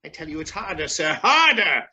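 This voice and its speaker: A man acting a crotchety, outspoken old gentleman, formal and pompous, in a radio drama. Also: on the high side, sing-song, fairly quick, dull audio, exaggerated voice. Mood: indignant, blustering. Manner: blustering, pompous.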